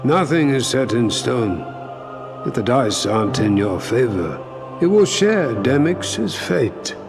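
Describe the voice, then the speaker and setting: An actor playing an aloof, menacing villain fighter in a video game, speaking in a deliberate, portentous way. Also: male voice, mid-range voice, very animated, vocal fry, exaggerated voice. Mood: warning. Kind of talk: video game cutscene acting. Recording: loud music behind.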